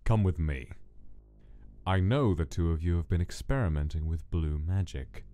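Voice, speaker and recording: Stern monster scientist played by a man, deep and mellow-dark, formal, clinical, lecturing comic-dub villainy. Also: moderate pace, soft background music, natural voice. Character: cold, formal adult male monster scientist, stern creator.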